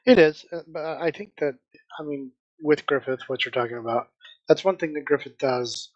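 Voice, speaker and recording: A man's voice: a little high, moderate pace, muffled recording. A man co-hosting a book club podcast, chatting casually and stressing his points by repeating them.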